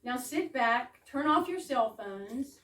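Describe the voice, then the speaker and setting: Female evening host, higher-pitched, theatrical with chatty asides, recorded from far off with some noise. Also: animated, takes it slowly, a bit bright. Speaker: host welcoming an audience to a readers theater evening. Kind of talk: live welcome speech. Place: room in a private home with a small audience. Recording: echoey room, distant mic, background noise.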